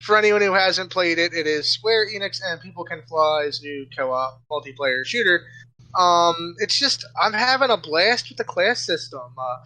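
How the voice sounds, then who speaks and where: Male podcast panelist, higher than usual pitch, crisp bright tone, enthusiastic informal game talk. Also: moderate pace, poor audio. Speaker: games site editor-in-chief, podcast panelist. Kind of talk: casual enthusiastic game review chat.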